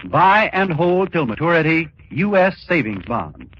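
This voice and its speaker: A man announcing a scripted 1940s radio message with measured, emphatic phrasing. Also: a little high, sing-song. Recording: dull, noisy 1940s radio recording.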